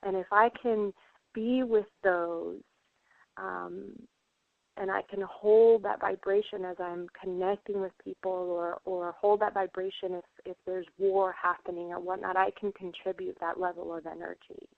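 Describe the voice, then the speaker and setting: A woman's voice: mid-range voice, little pitch movement, unhurried, vocal fry, no echo. A woman from Puerto Rico, a guest healer on a radio interview, talking in an earnest, reflective way with many fillers. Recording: tinny phone line.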